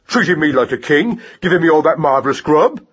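An indignant young giant mouse from a children's storybook, voiced by a man. He scolds furiously in a raised, swooping voice with a raspy edge. He blusters like someone who will not be interrupted. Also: a little high, expressive, fairly quick, muffled recording, voice pushed up. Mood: furious, scolding.

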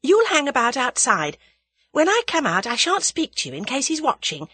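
A woman voicing a bold, impulsive young English woman in a dramatised audiobook, bright and emphatic. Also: high-pitched, huge pitch swings, moderate pace, husky, no echo, voice pushed up, exaggerated voice. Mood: bossy, eager. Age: young adult.

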